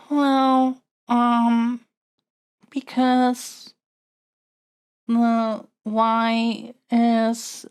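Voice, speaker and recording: A woman playing a stubborn, scheming, melodramatic young captive princess in a scripted audio roleplay, with formal, theatrical phrasing and halting pauses. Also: a little high, darkish tone, clean tone. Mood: sheepish, coy.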